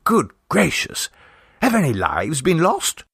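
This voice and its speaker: A man's voice: on the high side, wildly swooping pitch, slow, creaky, a bit bright, husky, dry recording, voice pushed up, heavily acted. A man acts out an oldish young Edwardian politician in a classic storybook reading. He is earnest, tactful and rather cheerless, his voice raised a little and recorded dry. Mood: startled.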